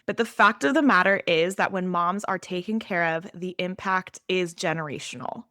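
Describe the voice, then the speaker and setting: Woman podcast host, lower-pitched, close dry sound. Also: normal speed, bright, forceful tone, no echo. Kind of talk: conversational interview.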